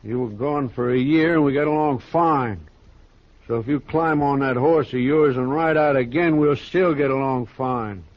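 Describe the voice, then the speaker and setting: A man plays a hot-headed young rancher in a vintage radio western. He is stubborn and defiant, with a medium-pitched voice. The sound is dull and boxy, like an old broadcast. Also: moderate pace, natural voice. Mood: tense, menacing. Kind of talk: 1950s radio acting. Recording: muffled old radio recording.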